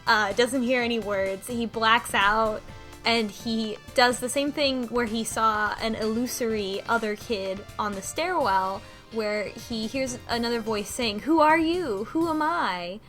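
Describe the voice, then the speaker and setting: A woman co-hosting a casual podcast, recapping a video game in an animated, amused way. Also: fairly high pitch, a little slow, soft background music. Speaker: podcast co-host.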